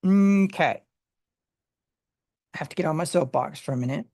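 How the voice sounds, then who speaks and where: A Bible study teacher who explains word meanings in a halting way, with many fillers and restarts. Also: mid-range voice, creaky, a little mellow, no echo, studio quality.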